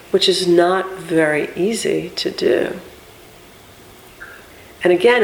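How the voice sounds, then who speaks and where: A woman with a big voice giving an informal, reflective spiritual teaching in drawn-out, unscripted phrases with pauses. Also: lowish voice. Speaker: meditation and chanting teacher. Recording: echoey room with faint background noise.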